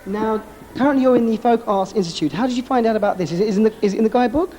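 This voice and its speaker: Quick high-voiced male interviewer. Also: warm tone, a little hiss or hum. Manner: quick, encouraging, leading questions. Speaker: interviewer and presenter. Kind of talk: broadcast interview. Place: indoors at a folk arts institute.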